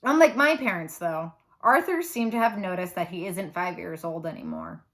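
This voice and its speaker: A woman reading a children's novel aloud like a teacher, with animated, dramatic emphasis. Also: on the low side, moderate pace.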